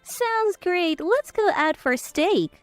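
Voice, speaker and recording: A woman's voice: extremely high pitch, expressive, slow pace, dry recording, faint music behind, pitched above the performer's own voice, heavily acted. A woman voicing a domineering, gloating older mother-in-law in a dramatized story, haughty and commanding.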